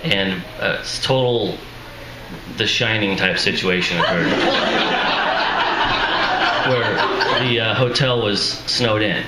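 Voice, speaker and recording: A man's voice: lowish voice, takes it slowly, long pauses. A man, an author at a live reading, introducing his book in a casual, self-deprecating way with chuckling asides and a voice that sounds worn out. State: strained, tired voice. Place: live reading venue with audience. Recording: echoey room, noisy ambience.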